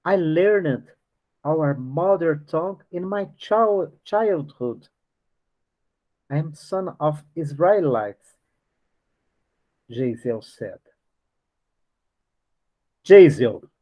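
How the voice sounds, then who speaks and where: A man leading a book study class. He reads passages aloud and explains them, halting often and restarting as he searches for words. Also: on the high side, animated. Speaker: study group leader. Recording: dull lo-fi recording.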